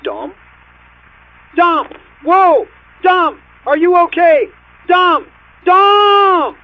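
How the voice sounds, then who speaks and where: A man's voice: extremely high pitch, animated, very slow, lo-fi sound, slight background noise, exaggerated voice. An actor playing a concerned young man in dramatic dialogue with a friend. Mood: frantic.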